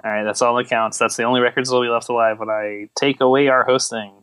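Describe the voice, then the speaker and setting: A man with a medium-pitched voice who talks very quickly, recorded dry and close. He riffs playfully as a comedy podcast host, slipping into imagined quotes. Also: level tone, fairly bright tone, clear, resonant tone, no room sound. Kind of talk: casual comedic banter.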